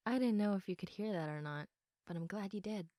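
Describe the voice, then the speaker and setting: Sleepy, affectionate girlfriend played by a woman for bedtime ASMR roleplay; soft, giggly, reassuring, very unhurried delivery, dry intimate sound. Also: mid-range voice, dry recording, lightly acted. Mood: loving, content. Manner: soft, unhurried, often giggly, dropping into whispers. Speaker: audio roleplay performer.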